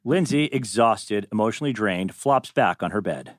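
A man's voice: fairly low pitch, expressive, normal speed, dry recording, studio quality. A man reading scripted stage directions as narrator at a table read.

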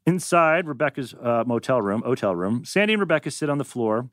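A man's voice: medium pitch, lively intonation, brisk, fairly bright tone, no echo, clean studio sound. A man reading stage directions as the narrator of a scripted table read.

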